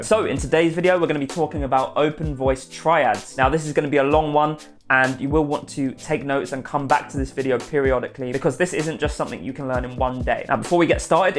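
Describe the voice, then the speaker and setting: A man presenting an instructional guitar tutorial, spelling out each step clearly as the teacher and host. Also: on the high side. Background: guitar playing.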